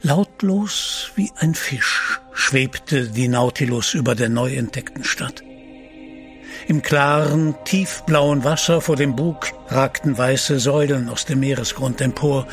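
An actor narrating an adventure audio drama in a measured, solemn literary storytelling style. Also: male voice, mid-range voice, soft background music, natural voice.

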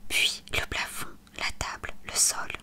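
Soft whispering storybook narrator. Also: a little slow. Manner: soft unvoiced whisper throughout. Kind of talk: ASMR whispered storybook reading.

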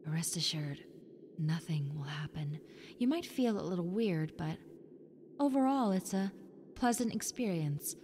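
A woman voicing a friendly, needy young female ghost in an intimate roleplay, softly coaxing the listener with hesitant pauses. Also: on the low side, lively intonation. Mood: soft, reassuring. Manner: soft, coaxing, hesitant pauses, sometimes near whisper. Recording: long reverb, clear background noise and ambience.

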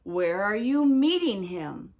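A woman voicing a sly, hungry male fox in a read-aloud picture book, speaking low and coaxing. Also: very animated, slow pace, darkish tone.

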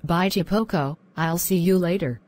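Man doing a young girlfriend, raised falsetto-ish voice, calm, soothing, gently scolding. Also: normal speed, natural voice. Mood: sweet. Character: sweet, patient young girlfriend.

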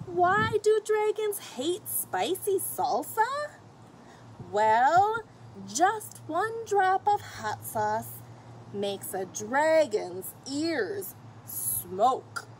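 A middle-aged woman reading a picture book aloud to children in an expressive, emphatic way, recorded outdoors on a camera a short distance away. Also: sits high, extremely slow. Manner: expressive, emphatic, addressing children and characters directly. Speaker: children's story reader. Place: outdoors on a fenced patio or deck. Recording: outdoor camera audio with faint noise.

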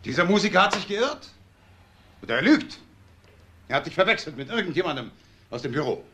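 An actor playing a loyal, pleading son and brother in a German crime radio drama. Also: male voice, high voice, very animated, fairly quick, sharp tone, exaggerated voice. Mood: pleading, upset.

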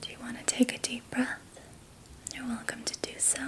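A young woman whispering gently and reassuringly in a guided ASMR relaxation, leaving long pauses. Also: fairly high pitch, little pitch movement. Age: young adult. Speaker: ASMR host doing a healing roleplay. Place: small indoor room at night.

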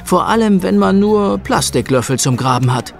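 A man narrating a children's audio play as an expressive storyteller. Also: high-pitched, normal speed, vocal fry. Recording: music under the voice.

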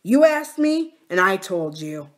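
A woman's voice: mid-range voice, very animated, takes it slowly. A woman voicing a crafty, hard male farmer in an audiobook, blunt, smug and menacing. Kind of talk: audiobook character dialogue.

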